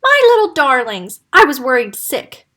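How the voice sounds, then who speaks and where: A woman voicing a gentle, kind mother pig in a children's story. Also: high-pitched, wildly swooping pitch, normal speed, exaggerated voice. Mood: fond. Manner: soft and gentle.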